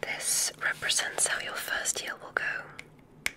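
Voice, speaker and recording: Slow whispering ASMR woman as gentle witch. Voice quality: breathy whisper. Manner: gentle, deliberate, softly instructing. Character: kindly witch examiner at a magic school. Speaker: ASMR roleplay performer. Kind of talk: whispered ASMR medical exam roleplay.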